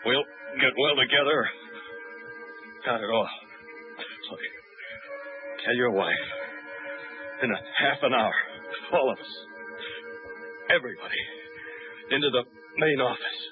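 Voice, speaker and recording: An actor playing an easygoing male cargo pilot in a 1950s radio drama, relaxed and joking. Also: mid-range voice, measured pace, pauses often, lightly acted. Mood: determined. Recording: tinny old radio broadcast with music.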